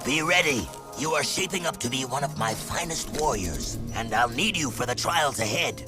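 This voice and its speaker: A man's voice: mid-range voice, expressive, normal speed, music in the background, lightly acted. A man voicing a wise, stern desert leader in a video game, solemn and fatherly, with formal phrasing. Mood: solemn, encouraging. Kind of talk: video game cutscene acting.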